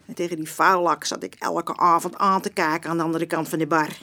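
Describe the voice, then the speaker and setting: A woman's voice: on the low side, fairly even intonation, fairly quick, bright, forceful tone. An actress playing a feisty, proud old woman in a radio drama, blunt and colloquial. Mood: upset, confiding. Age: older. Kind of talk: Dutch radio drama acting.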